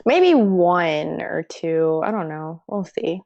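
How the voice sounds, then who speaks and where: A woman's voice: lowish voice, lively intonation, slow, a little mellow, dry recording, highs cut off. A young American woman from the Atlanta area, chatting casually as a playfully insistent, giggly podcast guest. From Atlanta area, United States. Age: young adult. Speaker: guest sports writer. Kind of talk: casual chat.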